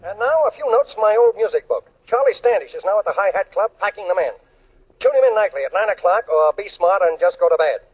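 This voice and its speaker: Rapid-fire, high-pitched radio gossip columnist. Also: male voice, animated, breathy edge. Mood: brash. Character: brash, rapid-fire gossip columnist broadcasting his items. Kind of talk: 1940s radio gossip broadcast. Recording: dull, muffled 1940s radio recording.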